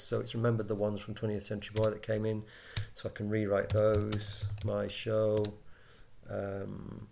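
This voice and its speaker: Low, gravelly man giving a casual tutorial. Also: darkish tone. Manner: casual, hesitant, thinking aloud. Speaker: tutorial presenter for radio volunteers. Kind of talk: informal software screencast tutorial. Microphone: built-in laptop microphone. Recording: laptop mic, background noise, key clicks.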